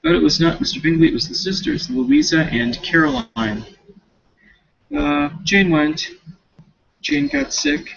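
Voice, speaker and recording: A man giving a casual, rambling recap as a student, talking half to himself in informal, slangy, halting phrases with self-corrections on a dull home recording. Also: fairly high pitch, very animated, creaky, fairly bright tone, husky. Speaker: student reading a novel for a class paper.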